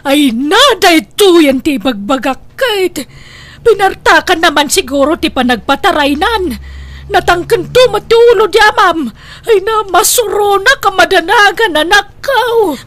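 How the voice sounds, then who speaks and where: A woman plays a fretful grown daughter in a homemade Ilocano comedy drama. Her voice is high and she fusses and admonishes with an Ilocano accent. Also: fairly bright tone, no echo. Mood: worried. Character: fretful, scolding grown daughter of old father. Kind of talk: amateur comedy drama acting.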